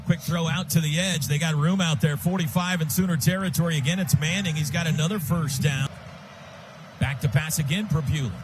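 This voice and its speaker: A man's voice: a little high, fairly quick. An American man calling live football on the radio as play-by-play announcer, in clipped, urgent phrases. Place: stadium radio booth. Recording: sports radio broadcast with stadium noise. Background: clear stadium noise.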